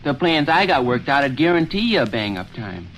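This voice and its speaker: An actor playing an eager schoolboy son who argues in an earnest, folksy, persuasive way in a 1930s radio comedy. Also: male voice, medium pitch, sing-song, a little fast, a bit bright. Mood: eager, coaxing. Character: eager schoolboy son scheming to host a party. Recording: noisy 1930s radio recording.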